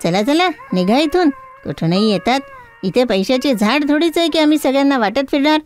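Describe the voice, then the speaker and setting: A woman's voice: sits high, animated, normal speed, voice pushed up. A woman voicing a scornful, stingy rich housewife in a moral tale, indignant and dismissive.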